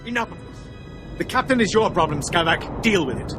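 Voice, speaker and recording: A man's voice: high-pitched, expressive, fairly quick, music in the background. A male actor playing a haughty, impatient imperial military commander in a sci-fi video game, with a pompous, imperious delivery. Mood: exasperated. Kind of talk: video game cutscene acting.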